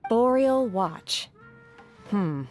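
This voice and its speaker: A woman voicing an aloof, solemn young female mystic in a video game, measured and deadpan. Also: mid-range voice, faint music behind. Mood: puzzled.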